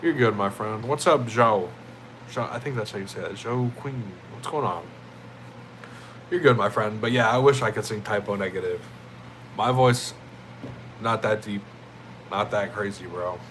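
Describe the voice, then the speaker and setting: A young man with a deep, jazzy voice, chatting casually with viewers on a livestream in a loose, rambling way. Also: moderate pace, long pauses, fairly bright tone, a little hiss or hum, poor audio. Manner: laid-back, rambling, thanks chatters as "my friend" and "bro".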